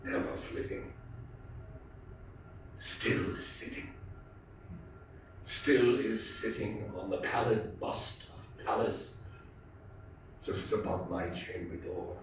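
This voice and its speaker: Grief-maddened Gothic mourner in an echoing theatre. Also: male voice, lowish voice. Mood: despairing, hushed. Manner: melodramatic, drawn-out, shrieking delivery. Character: grief-maddened man tormented by a raven, pleading and raging. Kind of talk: theatrical Gothic poetry recitation. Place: theatre stage. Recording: echoey theatre, far from mic, faint noise.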